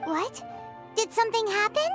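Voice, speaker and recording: A woman voicing a tiny, excitable floating fairy girl with a squeaky childlike voice in a video game. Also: normal speed. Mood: worried, surprised. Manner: refers to self in third person, exclamatory. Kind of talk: cartoonish video game voice acting. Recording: music behind the voice.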